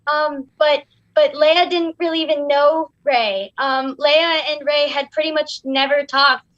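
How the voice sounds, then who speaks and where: A woman's voice: high-pitched, level tone, measured pace, fairly bright tone. A young woman in casual, opinionated chat with a hesitant, rambling delivery. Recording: dull, roomy sound.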